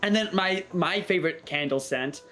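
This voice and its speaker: A man chatting casually in a Q&A, hesitant and halting, often repeating his words. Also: sits high, pushed and bright. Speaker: cast member. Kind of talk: casual Q&A chat. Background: background music.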